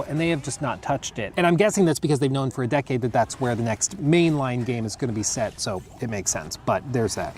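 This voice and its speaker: A man's voice: fairly high pitch, darkish tone, slight background noise. A young American man chatting casually on a podcast, rambling with lots of fillers as he reads out game lore. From United States. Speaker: podcast co-host. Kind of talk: casual chat reading lore.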